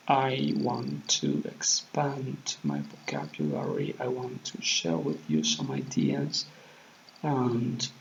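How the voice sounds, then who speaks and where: A man with a Spanish accent speaks very slowly, hesitating and filling pauses while he searches for words. His voice has a gravelly edge. The recording sounds dull and lo-fi, with faint noise behind him. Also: mid-range voice. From Mexico. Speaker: channel host. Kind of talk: unscripted vlog talk.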